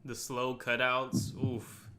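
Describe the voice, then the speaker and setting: A man's voice: medium pitch, little pitch movement, slow, bright, forceful tone, a little hiss or hum. A man hosting a reaction video in a casual, slangy, exclamatory way, often repeating his phrases. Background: music.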